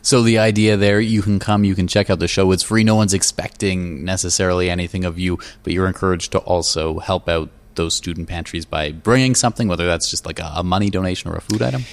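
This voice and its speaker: A low-pitched man conducts a radio interview. He asks his questions conversationally, and the sound is close and dry, with little room around it. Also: fairly even intonation, fast, hardly any pauses, no echo.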